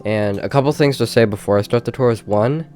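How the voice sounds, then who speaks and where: A man's voice: mid-range voice, a little fast, darkish tone, faint music behind. A young man with a stuffy, congested voice, rambling casually through a tour of his game world with plenty of fillers. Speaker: gaming channel host. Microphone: desk mic with face cam.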